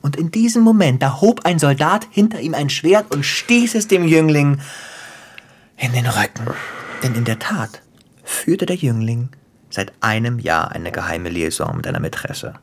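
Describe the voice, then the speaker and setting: A man acting in a German radio play as a cruel, gleeful crime boss, theatrical and taunting, with a husky voice that drops into whispers. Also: medium pitch, lively intonation, measured pace, a little hiss or hum. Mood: relishing, theatrical. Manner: theatrical, taunting, savoring storyteller.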